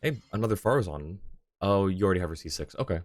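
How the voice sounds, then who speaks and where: A man's voice: deep voice, lively intonation, fast talker, noisy background. A man chatting casually as a game streamer, thinking aloud in an informal way. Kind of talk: casual livestream chat and game commentary.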